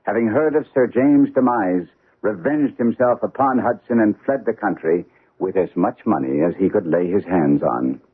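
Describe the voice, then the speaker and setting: A man playing a shrewd, composed gentleman detective in a radio mystery drama, speaking in measured, assured phrases. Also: lowish voice, lively intonation, slightly rough. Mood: brisk, assured. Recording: muffled old radio broadcast, faint phone-like band.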